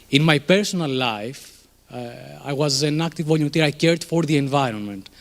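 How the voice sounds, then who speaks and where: A man in his thirties from Greece with a Greek accent, giving a conversational conference presentation in a room, with many fillers and restarts. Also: fairly high pitch. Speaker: conference speaker, startup co-founder.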